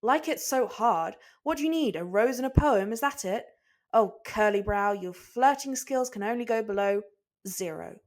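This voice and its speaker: Woman voicing a gruff, scornful young swordsman. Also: on the high side, animated, moderate pace, lightly acted. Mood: scornful taunting. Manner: grumbling, blunt, scornful. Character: gruff, stubborn, competitive young male swordsman.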